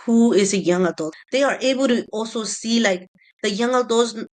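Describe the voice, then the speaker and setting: A woman's voice: on the high side, a little fast, darkish tone. A woman speaking as a panelist in a casual podcast discussion.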